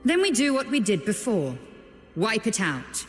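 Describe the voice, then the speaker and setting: Icy bossy empire chief in a game, curt, slow talker, lots of hall reverb. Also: mid-range voice, very animated, a bit bright. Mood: stern, impatient. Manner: curt, imperious. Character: cold, commanding imperial legion chief, curt and ruthless. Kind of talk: video game voice acting. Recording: echoey hall reverb with music.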